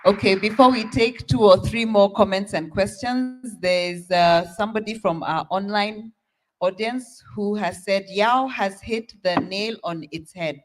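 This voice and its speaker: A man's voice: sits high, low-quality recording. A man moderating a panel, brief and polite, reading out online comments. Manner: brief, polite, keeps things moving.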